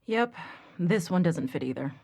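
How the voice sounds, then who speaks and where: A candid, analytical researcher in a game audio log. Her voice is a little low and she talks in a natural, probing, conversational way. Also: expressive, moderate pace, creaky, darkish tone. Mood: skeptical, candid. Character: frank, analytical female researcher questioning her colleagues.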